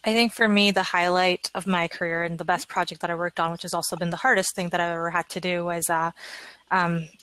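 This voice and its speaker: A woman talks very quickly as an engineering director on a panel. Her voice sits slightly low and stays fairly level in pitch, with a dry, close sound. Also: flat delivery, a bit bright, no echo. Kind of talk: conference panel talk.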